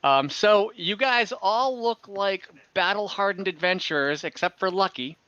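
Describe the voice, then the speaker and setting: A man running a tabletop game as game master, narrating casually in a halting, conversational way. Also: high-pitched, animated, bright, forceful tone, smooth, dry recording. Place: online call, dry close room. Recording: dull lo-fi online call audio.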